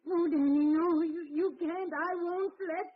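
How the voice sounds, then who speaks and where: A woman's voice: very high-pitched, fairly even intonation, a little slow, slight background noise. An actress playing a grieving older mother in a 1940s radio drama, weary and despairing. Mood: pleading. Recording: tinny old radio broadcast.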